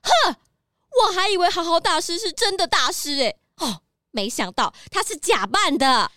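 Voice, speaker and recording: A woman voicing a bright, excitable townswoman. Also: very high voice, normal speed, no echo, clean studio sound, full character voice. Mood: surprised.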